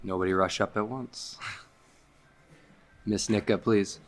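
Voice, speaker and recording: A man's voice: on the low side, fairly even intonation, slow pace, soft background music. A man chairing a town public hearing, calling on speakers in a polite, formal way with a light joke now and then. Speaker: selectboard chair running the hearing. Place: town meeting hall.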